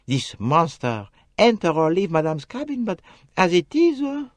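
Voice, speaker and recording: High, bright French-accented young maid, voluble and pleading, fluttering pitch, close and dry, a male reader putting her on in an audiobook. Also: huge pitch swings, moderate pace, no echo. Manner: pleading, emphatic, broken English phrasing. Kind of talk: audiobook character voice.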